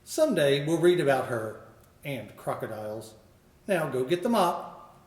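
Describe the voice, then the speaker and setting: A man voicing a patient, kind woman schoolteacher who speaks calmly and reassuringly. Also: fairly high pitch, measured pace. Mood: gentle. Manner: calm, gentle, reassuring. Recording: roomy echo.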